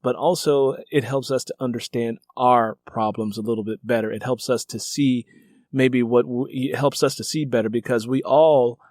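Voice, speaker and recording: A man's voice: medium pitch, fairly even intonation, normal speed, a little mellow, dry recording. A man with a mid-range voice works as a podcast interviewer. He talks in a reflective, conversational way, circling back to rephrase his points with emphasis. The sound is very dry, with no room around it.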